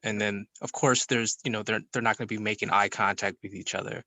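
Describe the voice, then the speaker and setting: A man's voice: lowish voice, steady tone, a little fast, gravelly, pushed and bright, no room sound. A man giving his view in a casual group discussion among film students.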